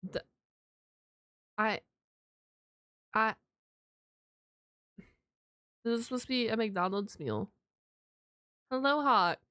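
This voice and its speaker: A woman streamer giving casual, slangy live reactions in an expressive, exclamatory way. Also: a little high, takes it slowly, no room sound, clean studio sound.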